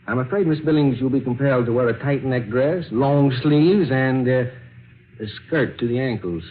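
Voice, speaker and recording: A man's voice: mid-range voice, moderate pace, natural voice. An actor playing a courteous, fussy elderly businessman with formal, hesitant, old-fashioned speech in a 1940s radio drama. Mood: prim, instructing. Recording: muffled, noisy old radio recording.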